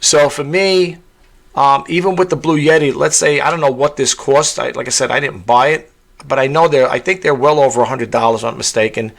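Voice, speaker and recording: A man talks through a casual tutorial vlog with a gravelly, monotone voice. He rambles, circling back with fillers and false starts as he explains things to his viewers. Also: mid-range voice, fairly quick. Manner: rambling, many fillers and restarts. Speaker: content creator.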